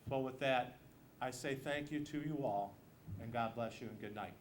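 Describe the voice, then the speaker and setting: A man's voice: medium pitch, normal speed. Man, American accent, mid-range voice, campaign speech, emphatic repetition, slight background noise. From Missouri, United States. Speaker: state legislator and candidate. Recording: noisy live event room recording.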